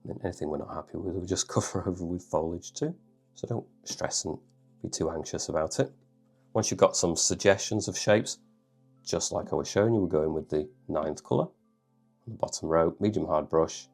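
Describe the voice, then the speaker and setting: Low gravelly man with a cold. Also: moderate pace, soft background music. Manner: calm, reassuring, thinks aloud. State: bunged up with a cold. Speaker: drawing tutor. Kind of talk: relaxed step-by-step tutorial narration.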